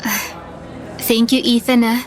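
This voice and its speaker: A sincere, plucky high school underdog in a Filipino radio drama. Her high, girlish voice is earnest, set over gentle background music. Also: little pitch movement, slow. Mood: shy, tender. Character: sincere, plucky bullied teenage schoolgirl in love.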